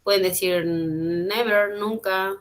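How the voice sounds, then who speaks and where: A woman's voice: mid-range voice, steady tone, slow, slight background noise. A woman teaching an online English class, patient and encouraging, repeating and translating phrases. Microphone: computer mic on a video call.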